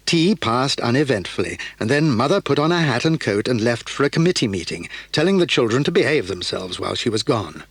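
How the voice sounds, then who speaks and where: Expressive, slightly husky male narrator. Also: medium pitch, brisk, hardly any pauses, dry recording. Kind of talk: scripted storybook narration.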